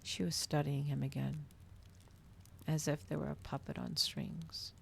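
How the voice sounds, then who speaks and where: A woman reading a story aloud in a soft, breathy ASMR style, with dramatic storytelling delivery, close to the microphone. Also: low voice, very animated, measured pace, slight background noise. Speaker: streamer reading a novel aloud.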